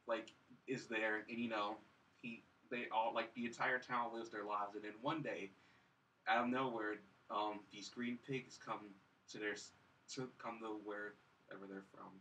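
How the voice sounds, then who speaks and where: A man with a bright, forceful voice talks casually in a panel chat, picked up a little away from the mic. He rambles, circling back and padding his phrases with "like". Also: on the low side, steady tone, measured pace, far from the mic, slight background noise, poor audio. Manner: rambling, heavy use of "like". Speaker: podcast panelist reviewing films.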